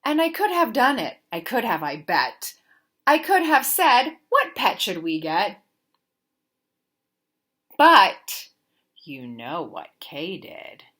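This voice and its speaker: Bright, very animated woman reading a storybook. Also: medium pitch. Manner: expressive, deliberate, sing-song emphasis. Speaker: teacher reading a picture book aloud. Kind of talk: animated children's storybook read-aloud.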